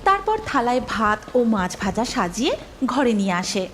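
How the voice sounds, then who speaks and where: Female cartoon narrator: high, lively storytelling over music. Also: moderate pace. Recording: music behind the voice.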